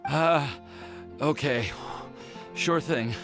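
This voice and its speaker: A man's voice: a little high, extremely slow. An actor playing a timid, lovestruck young man in a fantasy game, hesitant and stammering, with music behind him. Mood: dejected. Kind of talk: animated game voice acting.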